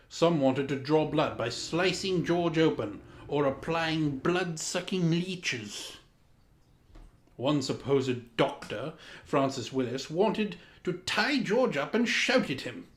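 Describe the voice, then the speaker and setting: A man playing a pompous Georgian lord, reading a children's storybook with dramatic, deliberate diction. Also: a little high, animated. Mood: arch, indignant. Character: pompous Georgian English lord reading a mad royal tale. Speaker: storyteller, host in the guise of a lord. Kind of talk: theatrical children's storybook narration. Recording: roomy echo, music underneath.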